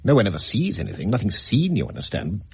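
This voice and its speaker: A man playing a hesitant house agent in a radio mystery, telling a grim tale in a grave, halting, measured way. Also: fairly low pitch, huge pitch swings, vocal fry, slightly rough, no echo, full character voice. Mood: awkward, evasive. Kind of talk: radio mystery drama acting.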